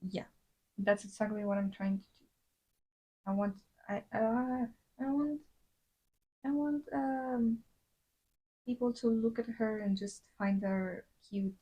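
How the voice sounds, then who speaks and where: A woman's voice: on the high side, takes it slowly, pauses often, dark tone, poor audio. A young woman from Latin America, chatting casually on a drawing livestream and rambling with many fillers and restarts. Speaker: streamer.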